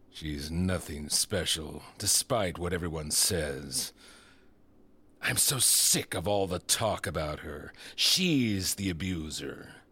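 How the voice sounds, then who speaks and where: A man playing a smug, manipulative disgraced senator in an audio drama, condescending, deliberate and insinuating. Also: sits low, fairly bright tone, husky, full character voice.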